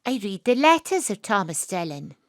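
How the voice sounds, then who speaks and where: A woman's voice: mid-range voice, big pitch swings, moderate pace, no echo, clean studio sound. An actress playing a young woman investigator, wry and weary, narrating her story lyrically in an audio drama. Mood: wry, reflective.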